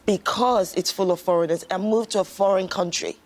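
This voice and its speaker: A woman's voice: mid-range voice, fairly even intonation, a little fast. Indignant woman on a debate panel. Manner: dismissive, indignant.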